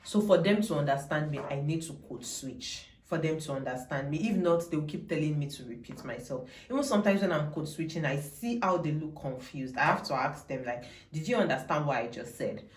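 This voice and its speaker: A woman's voice: low voice, expressive, fairly quick, few pauses, roomy sound. A young woman with a Nigerian accent, talking casually and emphatically to camera, wandering into digressions and repeating her points. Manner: chatty, digressive, emphatic repetition. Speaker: vlogger.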